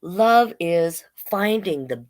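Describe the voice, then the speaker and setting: A woman hosting a children's storytime online, reading deliberately and adding casual, chatty asides. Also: fairly low pitch, animated. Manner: slow, deliberate read-aloud delivery with chatty asides. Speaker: drag king storytime host.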